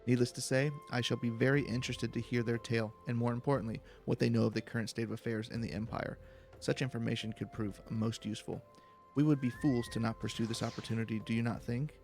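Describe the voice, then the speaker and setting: A man's voice: mid-range voice, level tone, fast talker, a little mellow, faint music behind, close to an everyday voice. A man voicing a smug, silver-tongued young rich nobleman from a fantasy game, in haughty, formal, eloquent speech. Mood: smug, assured. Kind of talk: reading video game dialogue in character.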